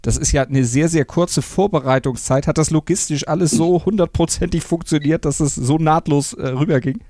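A man hosting a sports podcast, with a voice pitched a bit higher than most men. He asks his questions fluently and conversationally, and the sound is dry and close. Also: moderate pace, dark tone, no room sound. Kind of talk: podcast interview.

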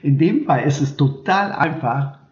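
A man's voice: on the high side, animated, unhurried, a little mellow, slight background noise. Roomy, muffled recording of a male host. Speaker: podcast host. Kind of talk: casual chat. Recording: roomy, dull lo-fi sound.

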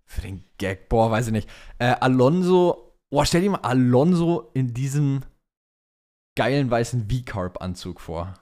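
A man's voice: medium pitch, very animated, unhurried, a little mellow. A man co-hosting a casual motorsport chat, talking informally with English slang mixed in. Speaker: podcast co-host reading listener questions.

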